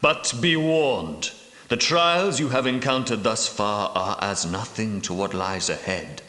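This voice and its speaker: A solemn leader of holy knights for a video game. He speaks low and slowly, in grave, archaic, portentous phrases like a preacher. The voice rings with long reverb, as in a great echoing hall. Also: sharp tone, exaggerated voice. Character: solemn, pious leader of ancient Grail knights. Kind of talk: scripted video game dialogue, archaic formal speech.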